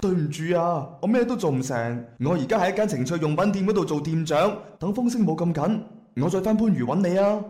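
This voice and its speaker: Male actor as jittery young Cantonese gangster boyfriend, lovelorn and pleading, highish voice, echoey radio-drama sound. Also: expressive, a little fast, dark tone, faint music behind. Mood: apologetic, anxious. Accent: Cantonese, Guangdong. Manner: nervous, pleading. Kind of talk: internet radio drama acting.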